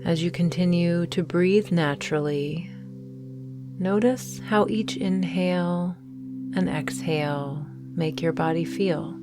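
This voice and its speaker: A woman guiding a meditation in a gentle, soothing manner, with deliberate phrasing and long pauses. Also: on the low side, music in the background. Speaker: meditation guide and host. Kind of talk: guided morning meditation.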